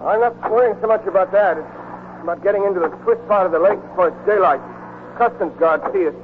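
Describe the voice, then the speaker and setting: An actor playing a steady, devoted American man in a plain, understated manner, in 1940s radio drama style. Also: high-pitched, fast, a little hiss or hum, lightly acted. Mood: steady, weary. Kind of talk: 1940s radio drama acting and first-person narration. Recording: muffled 1940s radio recording with music.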